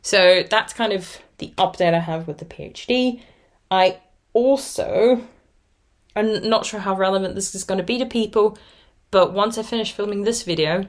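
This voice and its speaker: A woman around thirty, originally from Austria with German as her first language, chatting casually and at length as a knitting podcast host. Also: mid-range voice. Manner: rambly, chatty, self-reflective. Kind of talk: casual vlog chat.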